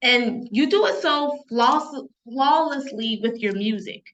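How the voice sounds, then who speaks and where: A woman's voice: high voice, slow, a bit bright, highs cut off. A woman hosting an interview show, introducing her guest and praising him with enthusiasm. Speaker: host.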